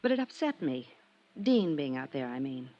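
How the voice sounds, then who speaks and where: A woman's voice: very deep voice, sing-song, normal speed, warm tone. An actress playing a poised, guarded young American woman, a captain's loyal daughter, in a 1950s radio drama. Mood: coy, polite. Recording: dull vintage radio recording.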